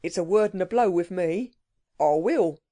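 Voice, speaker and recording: A gruff, slangy male burglar, as a woman reads him aloud in a storybook. He grumbles in dropped-aitch dialect, a touch lower than the narrator, with a warm, mellow tone in a dry, close recording. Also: lively intonation, moderate pace, no room sound. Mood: courting. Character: rough working-class male burglar, gruff and wary.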